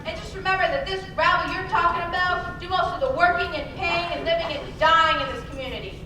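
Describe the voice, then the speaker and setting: Woman playing an eager young man, very high-pitched. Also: brisk. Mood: indignant, earnest. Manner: eager, talkative, earnest. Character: brash, idealistic young small-town man with big dreams. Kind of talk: old-fashioned radio play acting. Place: live stage performance in a large room. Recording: echoey hall, distant mic, noisy.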